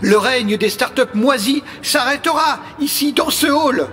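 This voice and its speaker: A man's voice: very high voice, lively intonation, unhurried, fairly bright tone, faint music behind, full character voice. A man playing a pompous, impassioned leader of an amateur resistance in an audio comedy drama, speaking in grandiloquent declamation. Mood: rousing, pompous.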